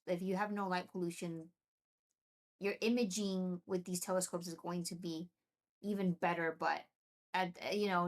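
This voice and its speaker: Chatty woman live-streaming from telescopes. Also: fairly low pitch. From Venezuela. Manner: chatty, excited, answers chat comments. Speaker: livestream host. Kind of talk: casual live-stream chat with viewers.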